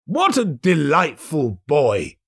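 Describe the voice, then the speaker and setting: A man acts out a giddy old miser bursting with joy in a storybook tale. The pitch is pushed high and swings wildly, with a husky edge. The delivery is excitable, breathless and exclamatory. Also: takes it slowly, exaggerated voice. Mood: delighted.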